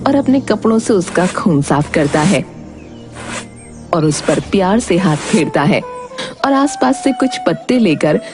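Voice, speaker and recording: Animated, low-pitched woman storyteller. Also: measured pace. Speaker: storyteller, narrator. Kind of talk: scripted animated story narration. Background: music.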